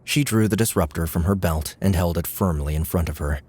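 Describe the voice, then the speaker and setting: A man narrating a scripted audiobook novel with measured, dramatic storytelling. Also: low-pitched, darkish tone, slightly rough.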